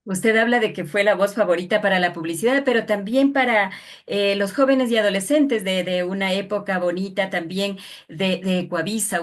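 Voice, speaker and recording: A woman putting formal interview questions in a respectful, deliberate way. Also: mid-range voice.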